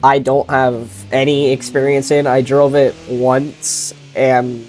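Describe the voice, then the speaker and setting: A man talks through a racing sim session in a loose, easygoing way, his voice blocked and stuffy from a sinus infection. He trails off, corrects himself and breaks in with quick exclamations as he drives. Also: mid-range voice, level tone, darkish tone, slight background noise. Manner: rambling and easygoing, with self-corrections and sudden exclamations while driving. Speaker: sim racing video creator. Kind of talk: casual gameplay commentary.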